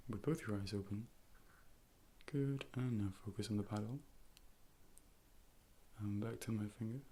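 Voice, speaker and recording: A calm, reassuring A.I. eye doctor played by a man with a low male voice. The delivery is soft ASMR role play, gently clinical and formal, sometimes slipping into a whisper. It is captured on a close microphone with a faint background hiss. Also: natural voice. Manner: measured, formal step-by-step instructions, gently clinical. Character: calm, polite robot A.I. optician, clinical and reassuring. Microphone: close binaural microphone.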